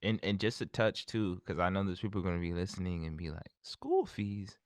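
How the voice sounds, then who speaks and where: A man hosting a podcast, chatting in a relaxed, conversational way with many fillers and restarts. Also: low-pitched, fairly quick. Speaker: podcast host. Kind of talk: casual interview chat.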